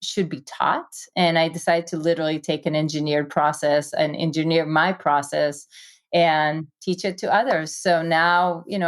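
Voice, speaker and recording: Israeli woman, low-pitched, eager talker. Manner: fast, enthusiastic, many fillers and "you know". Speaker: guest, tech investor. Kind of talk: casual conversational storytelling.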